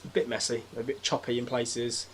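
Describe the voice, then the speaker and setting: A man's voice: medium pitch, level tone, a little fast, slight background noise, rough recording. A man chatting casually as a podcast guest about films and games, informal and descriptive. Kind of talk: casual film and game chat.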